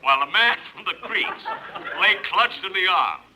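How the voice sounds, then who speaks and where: A man's voice: sits high, lively intonation, moderate pace, sharp tone, rough recording. A man narrating verse melodramatically in the style of a 1950s radio comedy. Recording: old radio recording, faint noise.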